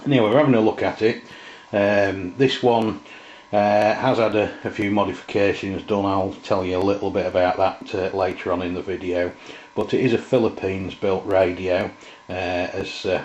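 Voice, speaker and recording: Middle aged bloke doing a casual gear review, halting, roomy muffled sound. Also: male voice, sits low, darkish tone. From England. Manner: hesitant, halting, unscripted delivery. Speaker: presenter, radio hobbyist. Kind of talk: informal product review.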